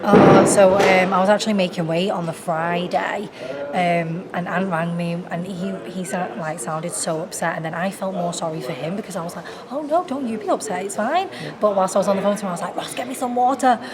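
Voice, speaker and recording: A woman's voice: on the low side, brisk, a crowd in the background. A young woman boxer from Warrington with a Northwest English accent, chatting in an interview and laughing often between answers. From Warrington, England. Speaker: professional boxer.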